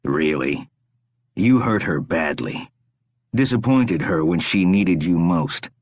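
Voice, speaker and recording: A man doing a soft-spoken menacing captor. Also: low voice, lively intonation, no echo, studio quality, heavily acted. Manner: slow, soft, quietly menacing.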